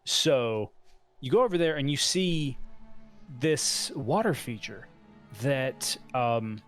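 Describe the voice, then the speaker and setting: Man, game master, relaxed descriptive narration, animated pitch. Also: slow pace, soft background music.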